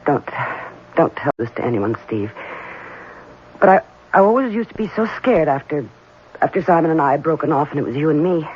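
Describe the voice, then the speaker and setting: A devoted but uneasy young bride-to-be in a vintage radio mystery. Her delivery swings widely, halting and melodramatic. The sound is dull old radio with a little background noise. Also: low voice, lively intonation. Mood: fearful, confiding. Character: anxious, devoted young fiancée, haunted by her lover's twin. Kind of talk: old-time radio drama acting. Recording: dull, faintly noisy old radio recording.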